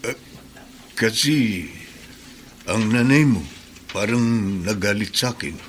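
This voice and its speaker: A man's voice: lowish voice, expressive, takes it slowly, fairly bright tone, slight background noise. An actor playing a humble, pleading middle-aged father, hesitant and earnest, in a Filipino radio drama.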